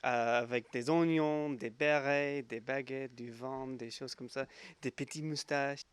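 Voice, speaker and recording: A man in his twenties with an English accent, a volunteer speaking learner's French haltingly, searching for words. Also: mid-range voice, steady tone, clean tone, no echo. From England. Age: 20s. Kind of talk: interview testimony.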